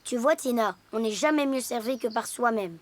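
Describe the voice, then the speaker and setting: Woman voicing a high-pitched, earnest little cartoon boy. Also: normal speed, noisy background, lightly acted. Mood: proud, busy. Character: earnest, self-important young boy cartoon animal. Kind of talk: animated children's cartoon dubbing.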